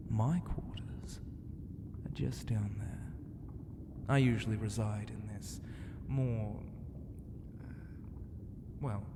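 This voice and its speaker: A man playing a flirty, self-assured ancient ocean god in an ASMR roleplay, teasing and grandiose, with a breathy voice that often drops into a whisper. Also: on the low side, steady tone. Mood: relaxed, boastful. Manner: slow, teasing and grandiose, with hesitant hums and murmurs. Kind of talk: ASMR roleplay, intimate half-whispered delivery. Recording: long reverb, clear background ambient noise.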